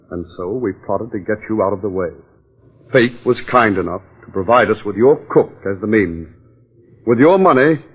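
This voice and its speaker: An actor playing a cold, resentful doctor and plotter in 1940s radio drama style. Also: male voice, mid-range voice, lightly acted. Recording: thin, phone-like old radio recording.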